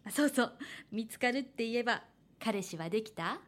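A woman's voice: a little high, animated, brisk, close to an everyday voice. An actress playing a cheerful young woman, an aspiring manga artist who reassures others though a little uneasy, in a Japanese horror radio drama. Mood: warm, friendly. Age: young adult. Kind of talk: Japanese horror radio drama acting with first-person narration.

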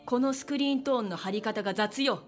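A woman's voice: a little high, fast talker, music in the background, close to an everyday voice. An actress playing a stern, demanding female manga artist boss in a radio drama, curt and condescending. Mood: stern, scolding.

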